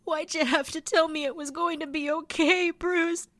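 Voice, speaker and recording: A woman voicing a grieving, accusing young boy in an audio drama, shrill and wailing. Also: steady tone, normal speed, fairly bright tone, no echo, studio quality. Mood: anguished anger.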